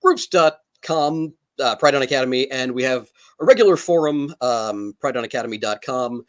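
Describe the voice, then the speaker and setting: Male panelist, medium pitch, casual, wry and self-deprecating, speaking over a video call. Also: moderate pace. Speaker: panelist, freelance artist. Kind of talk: casual chat.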